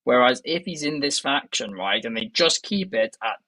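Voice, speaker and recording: A man's voice: medium pitch, animated, normal speed, pushed and bright, no echo. A young Welsh man hosting a casual wrestling news podcast, chatty and enthusiastic, turning to the viewers often. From Wales. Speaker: podcast host.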